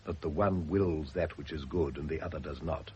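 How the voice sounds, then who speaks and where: An actor playing a serene, bookish man of quiet conviction in a radio drama, earnest and deliberate. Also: rumbling low, steady tone. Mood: earnest, wondering. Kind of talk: 1970s British radio drama acting. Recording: dull, noisy old radio drama recording.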